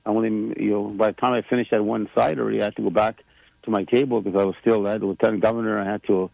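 Gravelly-voiced man in his sixties. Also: fairly low pitch, flat delivery, rapid-fire, dry recording, low-quality recording. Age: 60s. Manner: rambling, warm, many fillers and restarts. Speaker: president of a government, radio guest. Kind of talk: casual radio chat.

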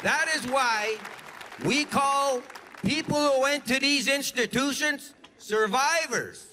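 Brief: male presenter, scripted and formal, high, bright tenor voice. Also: slow pace, some chatter behind, a little hiss or hum.

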